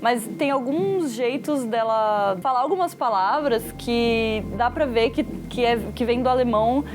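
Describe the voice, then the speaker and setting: A woman's voice: a little high, measured pace, noisy background. A woman in her twenties answering interview questions in a casual, thoughtful way, often searching for words. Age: 20s. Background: music.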